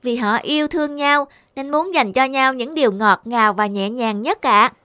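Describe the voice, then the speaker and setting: A woman performs a small cartoon cupid with a high, childlike voice. The little pupil is wide-eyed, curious and very polite. Music plays along behind the dry, close recording. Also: normal speed, pushed and bright, no room sound. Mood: earnest, thoughtful. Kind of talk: cartoon dubbing.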